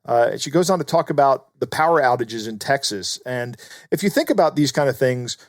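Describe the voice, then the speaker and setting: A man's voice: medium pitch, a little mellow, dry recording. Man explaining things in dry, close sound. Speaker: podcast co-host. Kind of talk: conversational commentary.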